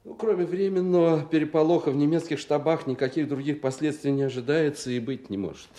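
An actor playing a brusque, authoritative Soviet colonel in a radio drama, speaking in a firm, clipped, insistent way. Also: male voice, fairly high pitch, fast, mellow, lightly acted. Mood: firm, explaining.

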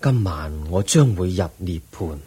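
An actor playing the aged Buddha in a radio drama, a serene and patient teacher speaking solemn Hong Kong Cantonese in a husky voice. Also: male voice, deep voice, lively intonation, dark tone, heavily acted. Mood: calm, solemn. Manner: solemn, measured teaching delivery.

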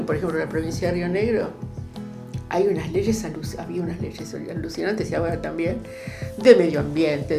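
An older woman with an Argentine accent reminiscing in an interview, halting and searching for words. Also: low-pitched, gravelly. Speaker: interviewee, popular radio producer.

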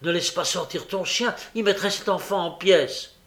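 A man's voice: sits high, normal speed, a bit bright, pitched above the performer's own voice. A man voicing a defiant young woman of the underworld in an audiobook story, insistent and impassioned. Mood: desperate defiance.

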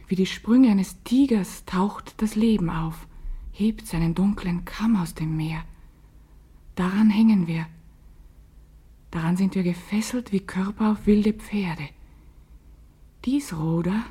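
Slow, breathy, intense girl. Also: medium pitch. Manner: hushed, deliberate, poetic. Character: intense, awestruck girl on summer holiday. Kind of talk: literary radio drama monologue.

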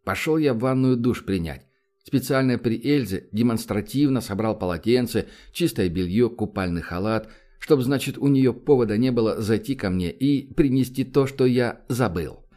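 A man's voice: mid-range voice, moderate pace, mellow. A man reading a first-person novel aloud as an audiobook narrator, with a wry, confiding storytelling manner.

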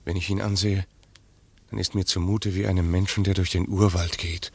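A man acting in a German radio play as a grim, resolute pursuer, emphatic and deliberate in his delivery. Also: low voice, little pitch movement, breathy edge, dry recording. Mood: musing, uneasy. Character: grim, resolute pursuer with a sober, skeptical streak.

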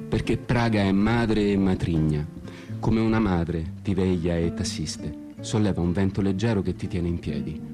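An actor playing a disenchanted solitary traveller, narrating a literary radio-theatre monologue in a measured, introspective and ironic way, with guitar behind him. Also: male voice, low voice, natural voice. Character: disenchanted male traveller wandering a foreign city alone.

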